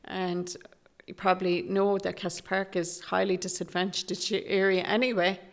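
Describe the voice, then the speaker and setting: A middle-aged Irish woman from Galway, speaking softly and hesitantly as a guest in an interview, with many restarts. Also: mid-range voice, flat delivery, normal speed, gravelly. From Galway, Ireland. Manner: soft-spoken and hesitant, with many fillers and restarts. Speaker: guest, Traveller activist. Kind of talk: candid interview conversation.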